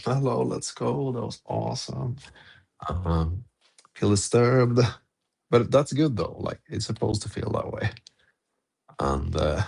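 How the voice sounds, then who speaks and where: A man's voice: medium pitch, animated, takes it slowly, vocal fry, long pauses, darkish tone, husky. A man hosting a casual live audio-room podcast chat, laid-back and chuckling between phrases. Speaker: podcast host.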